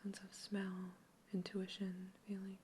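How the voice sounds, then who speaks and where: A woman whispering in an ASMR roleplay as a polite human researcher questioning a captive alien, gently curious and reassuring. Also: mid-range voice, completely flat delivery, unhurried, a little hiss or hum, lightly acted. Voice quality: soft whisper throughout.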